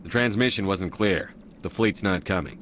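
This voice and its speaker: An actor playing a calm, firm, decisive human military commander in a video game. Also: male voice, sits low, moderate pace, a little hiss or hum.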